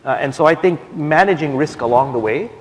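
A man's voice: a little high, fairly quick. Man answering on a conference stage. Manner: thoughtful, with many fillers and restarts. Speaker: panelist, software developer. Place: large conference hall stage. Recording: long hall reverb, faint noise.

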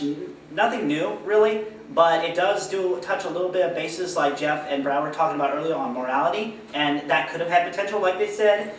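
A young man with a high voice reviews a film straight to camera, wry and offhand with small stumbles. The sound is very echoey, taken from far off with a faint hiss. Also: a bit bright. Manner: wry, offhand, a bit halting. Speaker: movie reviewer. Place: small indoor studio with a poster backdrop.

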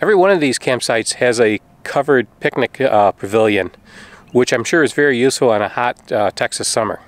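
A man's voice: mid-range voice, lively intonation, a little fast, no echo. A middle-aged man who makes RV travel videos, chatting casually to the camera outdoors. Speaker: RV travel vlogger. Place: outdoors at a campground. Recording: clear outdoor background noise.

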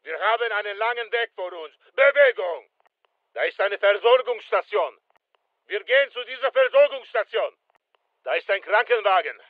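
A man's voice: high-pitched, no room sound, narrow, tinny audio. An actor playing a terse, disciplined soldier who reports to his squad in short clipped phrases in a video game. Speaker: military game character. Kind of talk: video game combat callouts.

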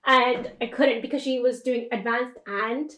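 A young woman from Quebec telling animated stories on a casual podcast, acting out what others said. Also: a little high, measured pace, reverberant. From Quebec, Canada. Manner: animated, acts out quotes of others. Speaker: podcast co-host. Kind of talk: casual chat, storytelling. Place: small room at home, indoors.

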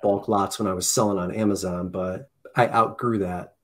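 A man's voice: low-pitched, steady tone, moderate pace. A man in his thirties as a podcast guest, chatting casually on a video call with a headset mic, often restarting and correcting himself. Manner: rambling, self-correcting, many restarts. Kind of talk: casual chat. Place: home room with posters. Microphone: headset and desk mic, video call.

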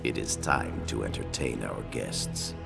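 An actor playing a stern, mature ninja clan grandmaster in a video game, speaking gravelly, formal, measured lines of command. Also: male voice, extremely low pitch, full character voice. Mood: cold command. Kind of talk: dramatic video game acting. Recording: loud game music behind.

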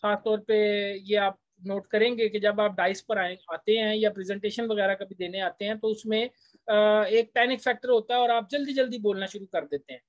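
A man's voice: sits high, fairly even intonation, moderate pace, no room sound. A man with a Pakistani accent lectures in a conversational, explanatory way, going through a text point by point. The recording is dry and somewhat dull, with a lo-fi edge. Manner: conversational, switches between languages to translate.

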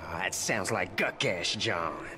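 A wily cackling wasteland mechanic. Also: male voice, low voice, expressive, takes it slowly, music in the background. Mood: scheming. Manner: colorful, cackling, drawling.

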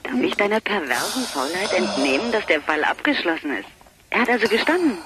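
An actress playing a teasing, sceptical, sharp-tongued woman in a German radio crime drama, dry and ironic. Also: medium pitch, sing-song, brisk, background noise, close to an everyday voice.

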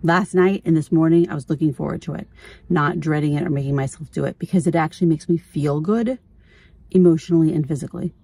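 A woman's voice: sits low, quick pace, creaky, mellow, breathy edge, dry recording. A middle-aged woman chatting casually as a vlogger, informal and candid, often thinking aloud. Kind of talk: casual chat.

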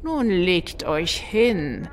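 A woman's voice: on the low side, big pitch swings, very slow, big-room sound, heavily acted. An actress playing a haughty evil spirit in a woman's body, imperious and scornful, in a fantasy audio drama. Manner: imperious, scornful, archaic wording. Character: haughty evil spirit possessing a female shaman.

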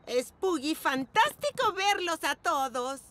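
A doting, playful pug grandma. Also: female voice, extremely high pitch, very animated, takes it slowly, very noisy, exaggerated voice. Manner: sing-song, doting, playful. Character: warm, fun-loving pug grandmother. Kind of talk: Spanish-dubbed children's cartoon. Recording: children's music playing behind.

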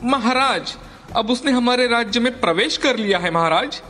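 A man's voice: very high-pitched, animated, normal speed, bright, forceful tone, exaggerated voice. Guy doing a nervous respectful storybook guard, long reverb, music behind. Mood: alarmed report. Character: deferential, alarmed royal soldier reporting to his king. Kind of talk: animated story dialogue.

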